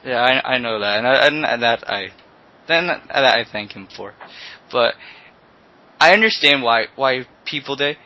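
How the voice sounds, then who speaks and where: Teen male podcast host, bright voice, stop-start delivery, casual chat. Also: mid-range voice, lively intonation. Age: teens. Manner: halting, repeats words as he restarts.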